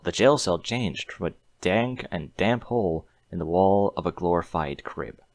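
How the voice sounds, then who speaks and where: A man with a fairly low voice reads scripted fiction as narrator. His delivery is expressive, with very animated intonation. The recording is close and dry. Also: no echo.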